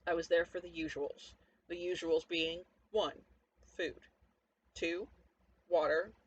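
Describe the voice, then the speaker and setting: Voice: mid-range voice, little pitch movement, slow pace, breathy edge. A performer narrating a first-person story as a cynical, defiant, foul-mouthed zombie apocalypse survivor, sardonic and conversational, talking straight to the listener. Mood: wry, brooding. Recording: dull lo-fi audio, faint background noise.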